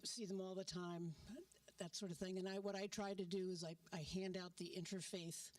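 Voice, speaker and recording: A woman's voice: on the low side, flat delivery, moderate pace, dry recording, distant mic. A woman giving an earnest public comment at a community forum, with small restarts. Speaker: community member speaking at a forum. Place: church hall at a community meeting.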